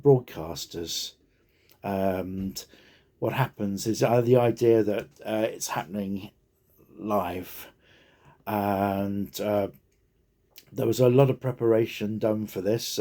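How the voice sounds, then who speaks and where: A man giving an informal unscripted talk, haltingly, with many ums and pauses. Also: lowish voice, dark tone. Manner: halting, hesitant, heavily punctuated with ums and pauses. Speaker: speaker giving personal reflections.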